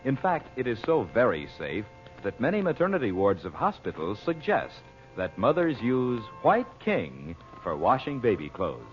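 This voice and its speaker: Casting: vintage radio pitchman, male, mid-pitched and lively, scripted ad read with music behind, dull old recording. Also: normal speed. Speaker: radio announcer. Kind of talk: 1940s-style radio announcing and sponsor pitch.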